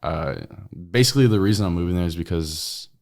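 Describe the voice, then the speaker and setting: A young man, born in Brazil, speaking with an American accent. His voice sits deep, and he talks in a relaxed, slangy ramble with plenty of fillers. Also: a little fast, vocal fry. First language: Portuguese. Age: young adult. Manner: laid-back slangy talk with many fillers. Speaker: podcast guest. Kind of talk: casual chat.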